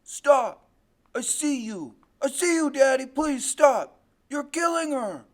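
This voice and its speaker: A man's voice: high voice, big pitch swings, fairly quick. A man voicing a desperate, frightened boy in an audiobook, pleading and accusing in raised outbursts. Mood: frantic pleading. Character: desperate, frightened boy confronting his murderous father. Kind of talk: audiobook character voice.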